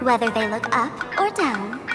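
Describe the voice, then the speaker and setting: A woman's voice: a little high, sing-song, normal speed. An enigmatic woman in long reverb. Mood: mysterious. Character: cryptic woman reciting a riddle about the moon. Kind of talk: scripted game dialogue. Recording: long reverb under loud music.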